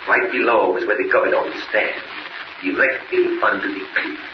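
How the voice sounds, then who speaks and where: Radio-serial villain: Spanish accent, broken English, sly gloating schemer, muffled hissy old recording. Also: male voice, fairly low pitch, huge pitch swings, normal speed. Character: scheming Spanish-speaking male villain plotting a bombing. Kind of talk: 1940s radio serial acting. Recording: noisy, muffled, echoey 1940s radio recording.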